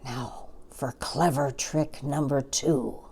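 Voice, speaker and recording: A woman's voice: rumbling low, fairly even intonation, slow, gravelly, mellow, breathy edge, pitched below the performer's own voice, exaggerated voice. A woman voicing an enormous male crocodile in a children's storybook, a greedy bully who gloats and schemes theatrically. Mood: sly, scheming. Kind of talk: children's storybook character reading.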